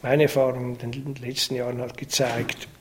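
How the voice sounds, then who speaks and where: A man, an architect, giving a halting radio interview statement with repeated words and fillers. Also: medium pitch, little pitch movement. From Switzerland.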